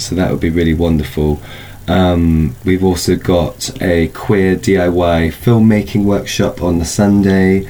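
A man's voice: low voice, dark tone, a little hiss or hum. A man speaking as an event organiser in an informal interview, explaining things in a loose, hedging way. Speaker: film festival organiser, interview guest.

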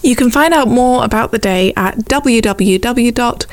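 High-voiced, emphatic woman presenter. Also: measured pace. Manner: emphatic, upbeat, repeats intensifiers for emphasis. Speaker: festival curator and presenter. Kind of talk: enthusiastic radio promo segment.

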